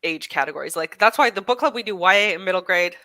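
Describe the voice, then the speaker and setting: A woman's voice: on the low side, lively intonation, quick pace, pushed and bright, dry recording. A woman in her early thirties chatting casually as she hosts a book club discussion. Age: early 30s. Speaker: book club host moderating a chat. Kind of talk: casual chat.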